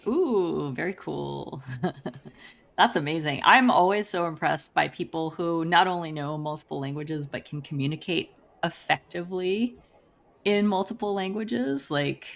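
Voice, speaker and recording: A woman with a somewhat low voice hosts a podcast interview. She is bubbly and upbeat, and she laughs easily between her questions. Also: a little slow, vocal fry. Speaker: podcast host.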